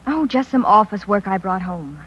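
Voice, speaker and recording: An actress playing a patient, dryly humoring ex-wife in a 1950s radio drama. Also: female voice, mid-range voice, animated, moderate pace, husky. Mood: weary, humoring. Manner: dry, patient, gently humoring. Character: patient, wry ex-wife of a newspaperman. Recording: muffled, reverberant vintage radio recording.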